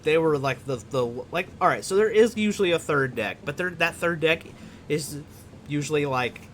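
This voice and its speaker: Chatty joking host, about thirty. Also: male voice, fairly high pitch, normal speed, fairly bright tone, a little hiss or hum. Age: around 30. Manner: chatty, joking, filler-heavy. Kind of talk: casual chat.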